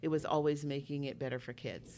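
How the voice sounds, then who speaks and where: A middle-aged woman from Jamaica, a school leader guest on a podcast, speaking earnestly and reflectively, slowing down for emphasis. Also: deep voice, steady tone, mellow. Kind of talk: podcast interview.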